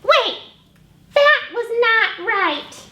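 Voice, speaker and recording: Storybook pig: very shrill, eager, insistent, exclamatory. Echoey room with some noise. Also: female voice, lively intonation, extremely slow, bright, forceful tone, full character voice. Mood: bossy, excited. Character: excitable, eager cartoon pig proudly showing off a trumpet. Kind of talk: animated picture-book read-aloud.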